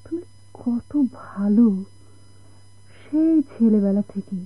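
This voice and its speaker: Radio drama: sorrowful, soft-hearted wife, raised husky voice, Bangladeshi accent, plaintive and halting. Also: female voice, fairly high pitch, animated, dry recording, heavily acted. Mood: tender, hesitant. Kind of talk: Bengali radio drama acting. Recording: dull, narrow-band old radio recording.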